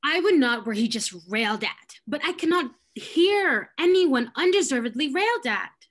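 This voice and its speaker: A young woman playing an arch, quick-witted young lady of a period stage comedy, defiant about marriage. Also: high voice, lively intonation, a bit bright. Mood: arch, defensive. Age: young adult. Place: indoors, on a video call.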